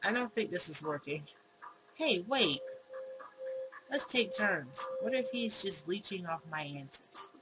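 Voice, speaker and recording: Female reader as exasperated wife, wry, visual novel dialogue, unhurried, prominent music bed. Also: lowish voice, expressive, poor audio. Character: wry, exasperated wife questioning her husband.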